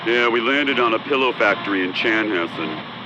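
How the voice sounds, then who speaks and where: A man's voice: on the low side, expressive, moderate pace, close to an everyday voice. A man playing a flirtatious, swaggering air corps lieutenant in a comedic radio drama. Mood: flirty. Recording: dull, noisy aircraft radio.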